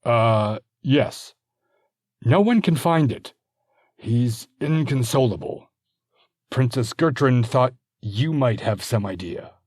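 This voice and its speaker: A man's voice: medium pitch, animated, slow pace, a little mellow, husky, no echo, studio quality, voice pushed up. A man playing a nervous, deferential messenger. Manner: hesitant, apologetic.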